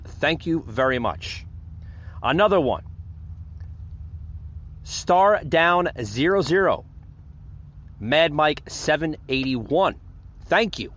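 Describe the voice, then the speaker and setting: A man's voice: mid-range voice, fairly bright tone, highs cut off. An American man hosting a podcast in a casual, unscripted monologue, rambling and repeating himself as he addresses his listeners. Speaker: podcast host.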